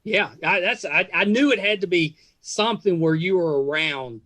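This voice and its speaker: A middle-aged man with a slightly high voice hosts a relaxed interview. He is chatty and folksy, and the recording is close and dry with very little room sound. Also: normal speed, no echo. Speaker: podcast host. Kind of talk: casual interview chat.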